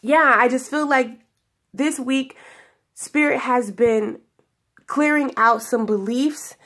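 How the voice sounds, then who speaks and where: A woman's voice: sits high, fairly bright tone. A young woman with a stuffy voice from sinus trouble, giving a spiritual daily message to her viewers in a giggly, affectionate way, drawing out her words. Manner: affectionate, giggly, drawn-out phrases. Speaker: spiritual guidance vlogger. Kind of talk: casual spiritual talk to viewers. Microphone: camera in front of her.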